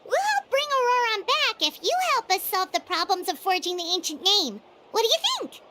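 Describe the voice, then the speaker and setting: Tiny fairy companion girl, video game. Extremely high, swooping, blunt and blurting; noticeable background noise. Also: sing-song, moderate pace. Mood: bargaining. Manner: speaks of self in third person, blurting. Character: tiny chirpy childlike fairy companion, female, blunt, easily flustered.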